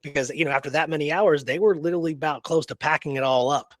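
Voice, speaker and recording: A man co-hosting a radio show, talking casually and excitedly in an informal, rambling way. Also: a little high, fast, no room sound. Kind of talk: casual enthusiastic discussion.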